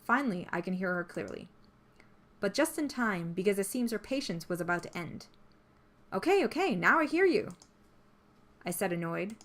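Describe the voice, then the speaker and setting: A woman reading a story aloud as scripted narration, in an expressive storytelling manner. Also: medium pitch. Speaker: visual novel player reading the text aloud. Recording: music behind the voice.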